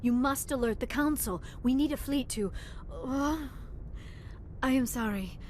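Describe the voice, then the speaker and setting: A woman's voice: high-pitched, unhurried, darkish tone, slight background noise. A woman playing an earnest, scholarly alien researcher in a video game, with formal, precise speech. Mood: urgent.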